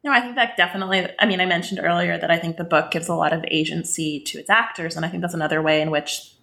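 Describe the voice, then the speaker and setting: A woman from the West Bank interviewing an author, asking measured, thoughtful questions. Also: on the low side, steady tone, vocal fry, bright, forceful tone. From West Bank, Palestine. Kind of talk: academic conversation.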